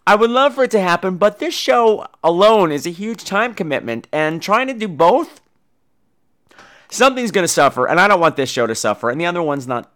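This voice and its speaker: A man's voice: high voice, expressive, brisk, a bit bright. A man hosting a podcast, telling casual comic stories in a gossipy, catty, profane way with dramatic emphasis. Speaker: podcast host.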